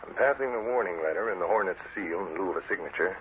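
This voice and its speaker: Crooked political henchman, played by a man: male, low register, brash and clipped, vintage radio serial, dull recording. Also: fast, close to an everyday voice. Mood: cocky, scheming. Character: cocky, corrupt political henchman, defiant under threat. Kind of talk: 1940s radio drama acting. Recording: noisy, muffled old radio recording.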